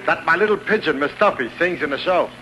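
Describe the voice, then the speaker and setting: A man's voice: on the high side, expressive, quick pace, close to an everyday voice. An actor playing a blunt, smitten moneyman bankrolling a show in a 1950s radio comedy. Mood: bossy, smitten. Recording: noisy old radio broadcast.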